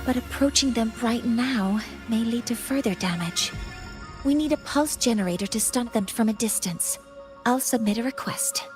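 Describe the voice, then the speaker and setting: A very high, precise voice carries this video game professor played by a woman. She is strict and composed, choosing technical words in a measured, formal manner. Clear music plays behind her matter-of-fact delivery. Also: darkish tone, natural voice. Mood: stern, composed. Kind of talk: scripted game dialogue.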